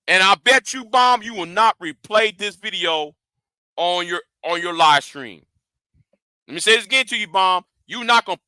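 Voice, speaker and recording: A man's voice: high voice, bright, forceful tone, clean tone, no room sound. A man ranting in a commentary vlog. He talks confrontationally and swears a lot, repeats and restarts his words, and challenges his audience directly. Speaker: YouTube commentator.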